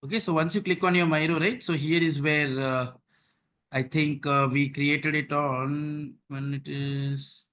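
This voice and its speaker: A man's voice: fairly high pitch, steady tone, unhurried, fairly bright tone, slight background noise. A man leading an online training session, walking listeners through on-screen steps and often checking in with "okay" and "right".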